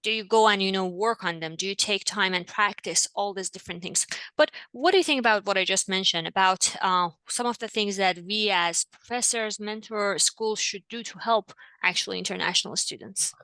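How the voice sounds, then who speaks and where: A woman's voice: mid-range voice, moderate pace, pushed and bright, clean tone, no echo, poor audio. A woman whose first language is Farsi, speaking conversationally as a professor hosting an interview, emphatic and often checking for agreement.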